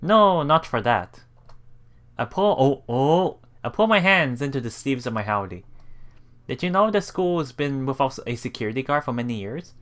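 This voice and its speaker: A man's voice: mid-range voice, expressive, moderate pace, a bit bright. A man hosting a let's play, reading visual novel text aloud and adding casual, reactive asides. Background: game music.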